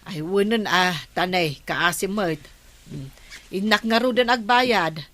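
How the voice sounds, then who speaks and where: A woman acting a kindly, agreeable village woman in an Ilocano radio comedy drama, with an Ilocano accent and drawn-out interjections. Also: mid-range voice, fairly bright tone, lightly acted. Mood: gossipy, sympathetic. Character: kindly, agreeable village woman who settles her debt.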